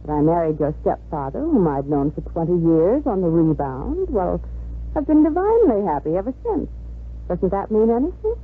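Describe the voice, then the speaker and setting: An actress playing a worldly, self-satisfied middle-aged mother in a 1950s radio drama, brisk and theatrical in manner. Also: fairly low pitch, sing-song, slightly rough, phone-quality sound. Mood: brisk, dismissive. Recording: thin 1950s radio recording.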